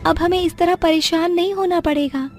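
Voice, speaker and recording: A woman's voice: extremely high pitch, steady tone, normal speed, soft background music, voice pushed up. A woman voicing a cheerful, eager young daughter-in-law who exclaims her lines in a family story. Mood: excited, hopeful.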